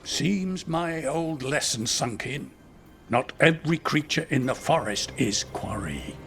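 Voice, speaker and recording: An actor playing a cranky, wry old elf who keeps a herd, teasing and plain-spoken, in a video game. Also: male voice, medium pitch, lively intonation, takes it slowly, fairly bright tone, slight background noise. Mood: impressed. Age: older.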